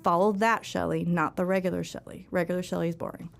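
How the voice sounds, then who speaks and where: A woman's voice: lowish voice, steady tone, fast talker, gravelly. A woman chatting casually as a podcast co-host, playful and wry. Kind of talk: casual banter.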